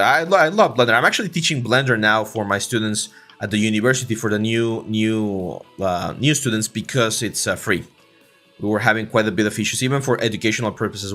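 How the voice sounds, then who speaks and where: A man with a Mexican accent talks through his 3D modeling work on a livestream, easygoing and full of "uh" and "like". He speaks into a desk mic, and soft music plays faintly underneath. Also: fairly low pitch. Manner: relaxed, filler-heavy, many restarts. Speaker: 3D art instructor and livestream host. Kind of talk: casual livestream tutorial, reading chat.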